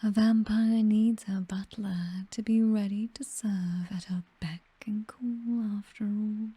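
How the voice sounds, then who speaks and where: Whispering, breathy British vampire mistress, played by a woman. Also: mid-range voice, fairly even intonation, warm tone, no room sound. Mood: seductive, hungry. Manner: slow, tender, teasing, possessive. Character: possessive, doting vampire noblewoman, commanding mistress to her butler. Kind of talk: intimate ASMR roleplay. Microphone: close microphone, dry.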